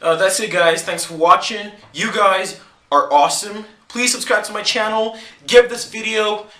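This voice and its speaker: A man vlogger telling a comic story to camera in an animated, joking way, laughing between lines. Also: sits high, moderate pace, roomy sound.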